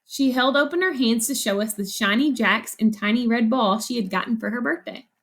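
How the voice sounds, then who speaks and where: A woman's voice: fairly high pitch, fairly quick, a bit bright. A woman teacher reading a picture book aloud to children, explaining as she goes and asking questions that she then answers. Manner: instructive, asks questions and answers them. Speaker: elementary school teacher.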